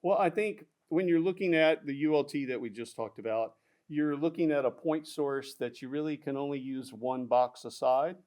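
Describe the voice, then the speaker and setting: Man explaining speaker specs with halting fillers. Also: a little high, a little mellow. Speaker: audio product specialist. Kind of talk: technical product discussion.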